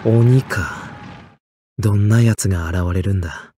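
A man voicing a calm, plainspoken sword warrior in an anime game, frank and steady. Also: lowish voice, takes it slowly, slightly rough, no echo, studio quality. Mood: calm, resolute.

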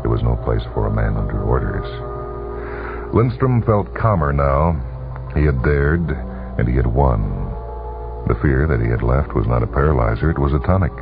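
Very deep, gravelly male radio storyteller. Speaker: narrator. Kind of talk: dramatic radio short-story reading. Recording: muffled, phone-like old radio broadcast with music. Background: music.

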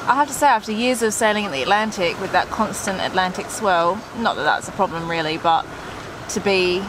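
A woman in her thirties hosting a sailing vlog, chatting casually and thinking aloud from the cockpit of a sailboat. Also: mid-range voice. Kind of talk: casual chat while sailing. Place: outdoors on a sailboat cockpit at sea. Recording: clear wind noise outdoors.

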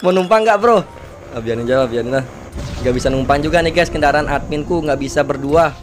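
A young man with a slightly hoarse voice, giving casual, excitable gameplay commentary full of slang and exclamations. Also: a little high, a little fast. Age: young adult. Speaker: gaming video creator.

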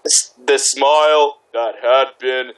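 A man reading a story aloud among friends, halting and stumbling over words between casual remarks. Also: medium pitch, fairly even intonation, fairly bright tone, rough recording. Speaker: participant in a group fanfic reading. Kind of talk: amateur read-aloud with casual banter.

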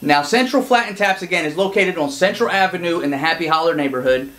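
A man in his forties, a real estate agent hosting his channel, chatting to camera with lively hand gestures in an indoor studio set. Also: fairly high pitch. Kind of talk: scripted to-camera presentation.

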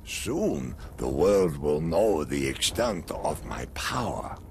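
An actor playing a brutal middle-aged island dictator general in a game, grandiose and menacing, with pompous deliberate delivery. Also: male voice, a bass voice, sing-song, creaky, darkish tone, a little hiss or hum, full character voice. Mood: cold menace. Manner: pompous, deliberate, theatrical, formal phrasing. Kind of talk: dramatic video game cutscene acting. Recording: music behind the voice.

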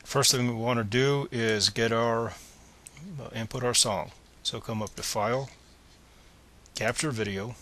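A man's voice: medium pitch, steady tone, unhurried, a bit bright. A man presenting a step-by-step screencast tutorial in a plain, methodical, instructional manner.

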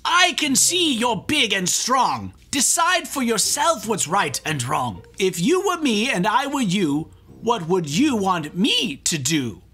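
A man's voice: high-pitched, big pitch swings, takes it slowly, sharp tone, husky, heavily acted. A man voicing a tiny, earnest, plucky ant in a children's storybook read-aloud, beseeching in an exaggerated way. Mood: plucky, imploring.

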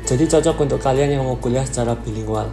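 Soft-spoken young male student. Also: medium pitch, rapid-fire, over music. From Indonesia. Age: 20s. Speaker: international student.

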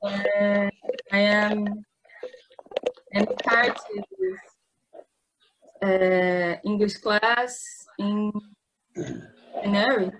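A woman in her twenties with a Brazilian Portuguese accent introducing herself in halting English on a video call. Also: mid-range voice, steady tone, a bit bright, poor audio. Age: 20s. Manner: halting, searching for words. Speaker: English student.

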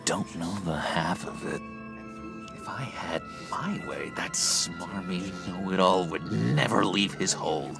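An actor playing an eager, unhinged young schemer in a video game, halting and wheedling with sinister warmth. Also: male voice, deep voice, gravelly, over music. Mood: bitter disgust. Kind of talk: video game character acting.

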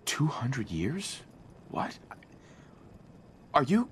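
A shaken father and survivor in a video game. He speaks slowly and earnestly at a middling pitch, with very wide swings in intonation and a halting, determined delivery. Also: huge pitch swings, a little hiss or hum, full character voice. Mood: puzzled, concerned. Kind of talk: naturalistic video game acting.

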